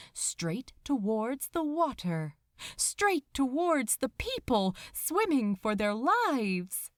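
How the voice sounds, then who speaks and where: A woman reading fiction aloud as a dramatic narrator, deliberate and expressive, with pauses between phrases. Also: fairly high pitch, no echo, clean studio sound. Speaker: narrator of fan fiction audiobook. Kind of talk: dramatic scripted story narration.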